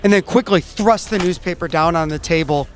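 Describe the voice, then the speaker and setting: Man, slightly high voice, halting stammer, improvised roleplay narration, faint music bed. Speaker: player in a tabletop game podcast. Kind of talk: improvised storytelling, acting out a character.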